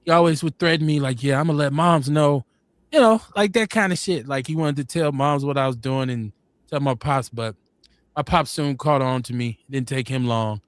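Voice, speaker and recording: Man, forties, laid-back storyteller, rambling, animated quotes, dry close sound. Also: mid-range voice, moderate pace, no room sound. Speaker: podcast host. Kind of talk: casual storytelling chat.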